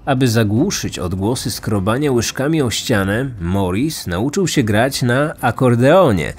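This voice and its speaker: A man hosts a true crime audio series, reading scripted narration. His voice sits at a medium pitch, with faint music playing behind it. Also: animated, unhurried, slightly rough. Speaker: podcast host and narrator.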